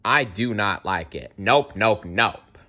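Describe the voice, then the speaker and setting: Animated male storyteller with a bright voice. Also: fairly low pitch, slow, muffled recording. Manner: animated, playful, reacts to the story. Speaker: storyteller reading aloud. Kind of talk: expressive fairy-tale read-aloud with asides.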